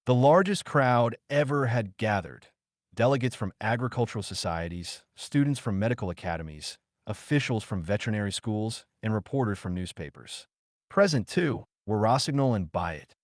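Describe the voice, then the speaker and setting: A man reading a biography aloud as an audiobook narrator, in an expressive, clearly enunciated storytelling manner. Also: lowish voice, moderate pace, no echo, clean studio sound.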